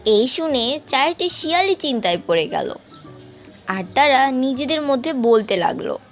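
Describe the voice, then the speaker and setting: Female storybook narrator, fairly high voice, very unhurried delivery, music behind. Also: very animated, fairly bright tone.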